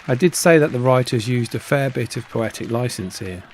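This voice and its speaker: Man, middle-aged, medium pitch, scripted narration, video host. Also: animated, moderate pace, darkish tone, a faint crowd, a little hiss or hum. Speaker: YouTube host, radio hobbyist.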